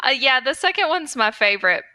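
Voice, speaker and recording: A woman's voice: high-pitched, sing-song, brisk, pushed and bright, no room sound. A woman co-hosting a podcast, chatting casually in an informal, slangy way. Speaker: podcast co-host. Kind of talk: casual chat.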